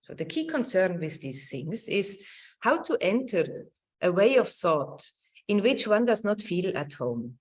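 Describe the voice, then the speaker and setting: A woman's voice: medium pitch, sing-song. Woman lecturer with widely swinging intonation. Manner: halting, searching delivery with repeated words and tag "no?". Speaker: academic lecturer. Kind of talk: academic lecture, partly reading from notes.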